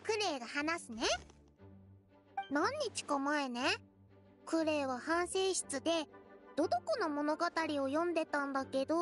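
A woman voicing an innocent, eager little girl in an anime game, childlike and naive in manner. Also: high-pitched. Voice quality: childlike, cutesy. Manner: naive, refers to herself by name. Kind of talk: Japanese anime game acting.

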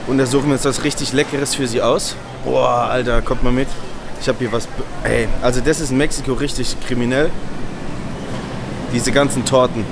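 A man's voice: medium pitch, fairly even intonation, moderate pace, vocal fry, noisy background. A man in his thirties from Germany chatting casually in a slangy vlog, dropping in English phrases. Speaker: fitness vlogger and bodybuilder. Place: on location: beach, massage room, supermarket, kitchen. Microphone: handheld camera at arm's length.

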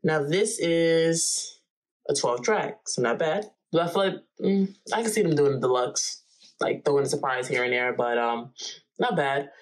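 A woman's voice: sits low, moderate pace, low-quality recording. A young woman from New Jersey who hosts music reaction videos. She chats casually and with animation from a small bedroom, recorded on a close camera. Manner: animated, chatty, hums and sings along.

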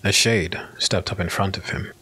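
A man giving solemn, measured, dramatic narration of a dark fantasy audiobook. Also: deep voice, steady tone, slightly rough. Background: music.

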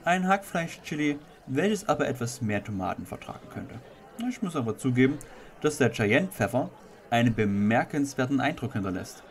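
Pompous male food connoisseur for a comic tale, acted by a man, very lively swooping intonation. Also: medium pitch, moderate pace, natural voice. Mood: approving. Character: fussy, appreciative male chili connoisseur judges.

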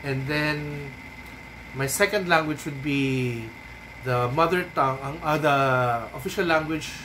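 A man in his thirties from the Philippines with a Filipino accent, a Cebuano native speaker, giving unhurried writing advice to a webcam in a small room at home. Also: on the high side, noisy background. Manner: unhurried, thoughtful, frequent ums and tag "no?". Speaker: writing coach. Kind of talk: casual advice talk to camera. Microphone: webcam at desk.

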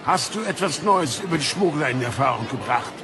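A man's voice: a little high, expressive, a little fast, lightly acted. A man playing a stern, domineering captain of the guard, haughty and scolding, in a German audio drama. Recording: noisy outdoor crowd ambience.

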